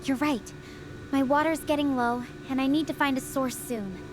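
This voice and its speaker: A woman's voice: sits high, normal speed, background noise, close to an everyday voice. A woman voicing a stranded young researcher on an alien world, earnest and thoughtful as she talks through her situation over a video call. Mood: determined. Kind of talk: conversational audio drama over a video call.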